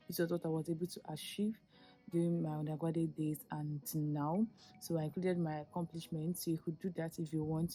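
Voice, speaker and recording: A woman's voice: on the low side, a little mellow, rough recording. A Nigerian woman in her twenties, a Yoruba speaker, giving earnest, chatty advice in a vlog with many fillers and restarts. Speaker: YouTuber giving application advice.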